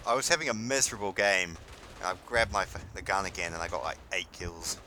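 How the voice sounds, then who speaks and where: Young New Zealand male gaming host, slightly low bright voice, casual commentary over faint game noise. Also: fast. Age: young adult. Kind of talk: casual gameplay commentary and chat. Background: faint game gunfire and artillery.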